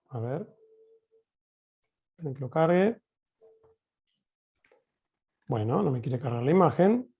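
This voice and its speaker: An Argentine man speaks as a teacher giving a talk on a video call. His voice is high for a man and mellow, with very lively, swooping intonation. He explains expressively, stressing points and checking in with questions. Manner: expressive, emphatic, uses rhetorical questions. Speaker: teacher presenting at a conference.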